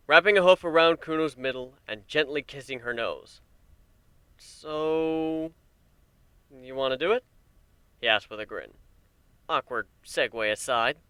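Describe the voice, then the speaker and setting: A man narrating a dramatic reading of fan fiction in an expressive, measured storytelling manner. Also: mid-range voice, clean tone, no echo.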